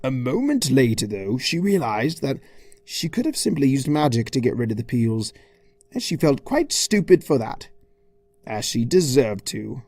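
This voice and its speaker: A man's voice: mid-range voice, sing-song, moderate pace, darkish tone, dry recording. A man reading a scripted comic story as narrator, in an arch, theatrical, mock-formal manner. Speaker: fan fiction reader.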